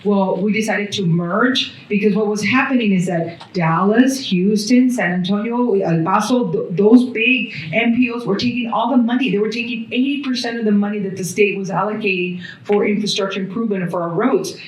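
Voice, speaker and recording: A woman's voice: mid-range voice, normal speed, few pauses, echoey room, distant mic, rough recording. A woman on a panel at a brunch, talking earnestly about regional cooperation and listing agencies and places. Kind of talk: panel discussion talk. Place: hotel event room at a brunch. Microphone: handheld mic passed along the panel.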